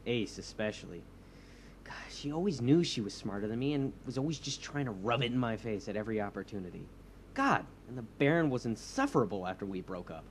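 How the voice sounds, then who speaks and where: A man's voice: fairly low pitch, sing-song, a little fast, slight background noise. A man playing an insecure young superhero leader, hesitant and earnest, in naturalistic audio drama dialogue. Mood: bitter. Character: insecure young male superhero leading a movement.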